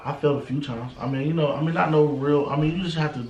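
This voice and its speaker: A man's voice: fairly high pitch, steady tone, fast, dull audio. An American man in his thirties giving casual motivational talk as an interview guest, peppered with street slang and repeated check-in phrases. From United States. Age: 30s. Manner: street slang, frequent "you know what I'm saying". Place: indoors in a house. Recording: roomy, echoing home interview.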